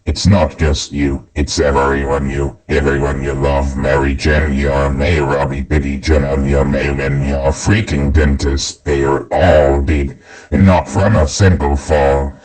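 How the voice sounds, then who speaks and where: A man voicing a gloating, murderous monster supervillain in a comic dub, raspy and menacing. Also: very deep voice, flat delivery, darkish tone, exaggerated voice. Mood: menacing, taunting. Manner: menacing, drawn-out, taunting.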